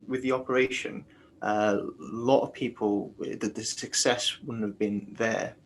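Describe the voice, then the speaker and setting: A man's voice: fairly low pitch, steady tone, unhurried, a little hiss or hum. A man hosting an interview, reassuring his guest and rephrasing questions with many restarts. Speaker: interview host. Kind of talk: casual interview.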